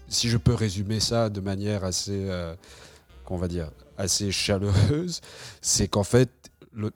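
A man hosting an interview, framing his questions in a measured way. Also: low-pitched, a little mellow.

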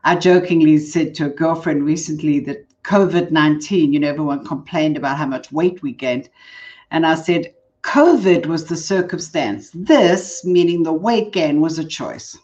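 An older South African woman with a notably low voice talks through her work in a relaxed, measured way, often breaking into laughter. The sound is a little dull and lo-fi. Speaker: guest, leadership coach. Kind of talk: casual interview chat.

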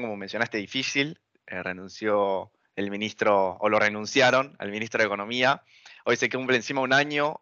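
A man's voice: lowish voice, bright, forceful tone, dry recording. A young man with a Buenos Aires Argentine accent, giving earnest interview answers in a hesitant, thinking-aloud way. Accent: Buenos Aires Argentine Spanish. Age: young adult. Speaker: interviewee, student activist. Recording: dull lo-fi audio.

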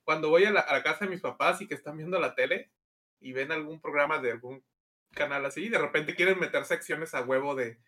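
Hombre mexicano relajado, voz algo aguda. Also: male voice, on the high side, fairly quick, sharp tone. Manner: laid-back, short interjections. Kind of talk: casual chat.

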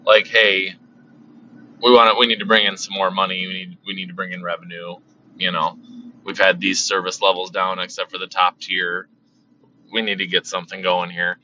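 A man's voice: low voice, level tone, moderate pace, pushed and bright, a little hiss or hum. A man talking casually about card collecting, rambling and repeating himself, and losing his voice. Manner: rambling, repeats words, many fillers. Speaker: card collecting channel host. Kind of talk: casual monologue. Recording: slightly dull lo-fi sound.

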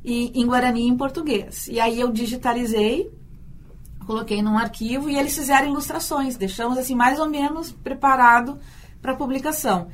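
A woman's voice: high-pitched, fairly even intonation, normal speed. A Brazilian woman, a university teacher and storyteller, answering in a radio interview, thoughtful and often repeating words as she thinks.

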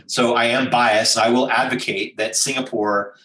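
An American man answers interview questions with emphatic, persuasive advice, stacking points in lists. His voice is bright and forceful. He sits a little back from the mic in a roomy space. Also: medium pitch, steady tone, moderate pace, far from the mic. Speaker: business executive guest.

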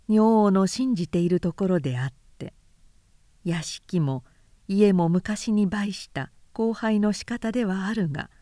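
A woman with a mellow, warm voice narrates a literary tale. Her delivery is measured and careful, and the sound is close and dry. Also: medium pitch, dry recording. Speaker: narrator reading classic literature aloud. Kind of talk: scripted audiobook narration.

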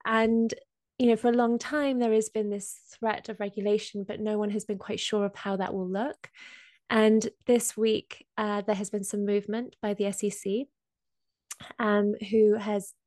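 A woman with a slight cold, explaining finance topics in a casual podcast chat with many pauses and ums. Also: medium pitch, level tone, a little mellow, no room sound, clean studio sound. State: has a cold. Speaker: co-host explaining crypto.

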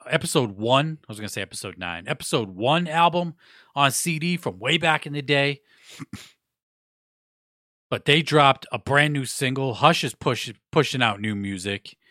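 Male podcast host, stuffy nose, slangy profane chat, bright tense voice, dry close sound. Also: mid-range voice, no room sound. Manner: loose, profane, slangy talk with shout-outs. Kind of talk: casual hip hop podcast chat.

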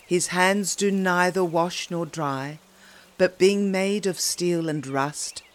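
A woman reciting poetry in a measured, dramatic way with deliberate pauses. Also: sits low, a little hiss or hum. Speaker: poetry reader.

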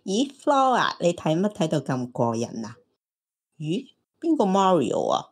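A woman's voice: on the low side, big pitch swings, unhurried, slightly rough, exaggerated voice. An actress playing a hopeful, romantic single woman in her thirties in an audio drama, teasing and exclaiming. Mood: teasing, nosy. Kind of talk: Cantonese audio drama acting.

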